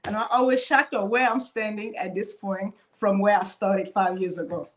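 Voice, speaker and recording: A young woman from Cameroon talks over a video call as a panelist. Her pitch sits mid-range for a woman, and she tells her story casually and hesitantly, with many fillers and restarts. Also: steady tone, moderate pace. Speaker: panelist, cybersecurity professional.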